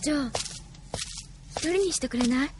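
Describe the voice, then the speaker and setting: A woman acting a gentle, distressed young woman in a radio drama, pleading and feminine, with music behind. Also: sits high, normal speed, slight background noise, lightly acted. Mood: sad, troubled.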